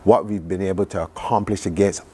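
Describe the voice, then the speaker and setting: A man's voice: low-pitched, steady tone, fairly quick, a little mellow, husky. A Barbadian man speaking conversationally as a television interview guest, recounting things in an enthusiastic, reflective way. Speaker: studio guest recounting a trip.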